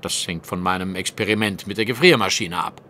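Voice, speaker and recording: An actor playing a self-assured gentleman detective in an audio drama, lecturing in a precise, didactic way. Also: deep voice, fairly quick. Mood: lecturing, insistent.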